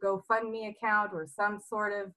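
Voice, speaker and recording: A woman hosting an online group meeting, rallying and excitable, losing her voice a little. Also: medium pitch, unhurried, rough recording. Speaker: meeting host.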